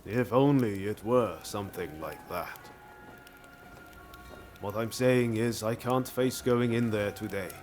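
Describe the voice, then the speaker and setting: An actor playing an easygoing, friendly young samurai reformer in a video game, casual and easily flustered. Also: male voice, lowish voice, takes it slowly, darkish tone, faint music behind. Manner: casual, friendly, hesitant when cornered. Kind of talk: video game cutscene acting.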